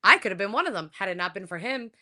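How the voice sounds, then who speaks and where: A woman with a bright, clear voice tells a story from the road. She talks casually and animatedly, with many "um"s and bursts of laughter. She is from Colombia. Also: mid-range voice, lively intonation, rapid-fire. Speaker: wrestler guest.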